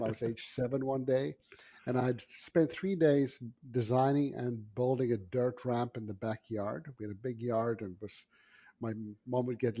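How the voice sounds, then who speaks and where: A South African man in his forties, telling personal stories casually as an interview guest, often pausing mid-phrase. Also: medium pitch, warm tone, husky, dull audio. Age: 40s. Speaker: podcast guest, entrepreneur.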